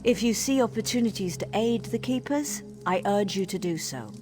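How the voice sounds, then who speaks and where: An actress playing a businesslike female scout in a fantasy game, calm, formal and measured. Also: medium pitch, music in the background, lightly acted. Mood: calm, resolute. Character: prudent, businesslike female military scout giving orders. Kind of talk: fantasy video game dialogue.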